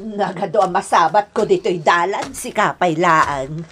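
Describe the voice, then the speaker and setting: A woman's voice: lowish voice, measured pace, fairly bright tone, a little hiss or hum. An actress with an Ilocano accent playing a domineering, quarrelsome mother-in-law who scolds in an exaggerated radio-drama style. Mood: haughty, confrontational. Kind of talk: Ilocano comic-melodrama radio acting.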